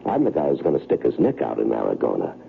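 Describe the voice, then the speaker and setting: Very deep, gravelly tough hitman. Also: huge pitch swings, quick pace, exaggerated voice. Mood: tough, defiant. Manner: tough, blunt, stubborn. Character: hard-bargaining, cynical professional hitman. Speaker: actor in radio drama. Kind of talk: old-time radio drama acting. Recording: tinny old radio, faint noise.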